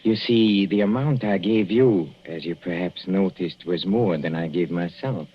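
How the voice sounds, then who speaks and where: A man playing a possessive, cruelly polite husband in a 1940s radio thriller, speaking in a deliberate, sardonic and halting theatrical manner. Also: deep voice, husky. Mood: smug menace. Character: possessive, cruelly polite husband poisoning his wife, himself failing. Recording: muffled, noisy old radio recording.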